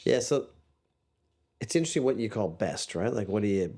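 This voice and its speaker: A man's voice: fairly low pitch, lively intonation, a little fast, dark tone. A man with a mixed Canadian and Australian accent, talking casually as a podcast guest, rambling and restarting often. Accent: Canadian and Australian mix. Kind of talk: casual interview chat.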